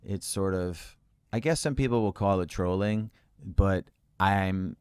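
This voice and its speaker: A man telling stories as an interview guest, calm and even-toned, with many fillers and frequent laughs. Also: low-pitched, a little slow, clean tone. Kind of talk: relaxed conversational storytelling.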